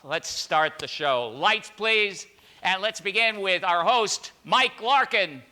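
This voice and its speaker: A man's voice: high-pitched, animated, slow pace, bright, forceful tone. An older man acting as master of ceremonies, making informal introductions to a live audience. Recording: live hall recording, crowd and noise. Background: audience crowd noise.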